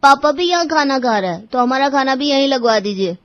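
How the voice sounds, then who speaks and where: A woman voicing a spoiled, lazy young boy with a wheedling manner in an animated story. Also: high-pitched, fairly quick, no room sound, muffled recording, pitched above the performer's own voice.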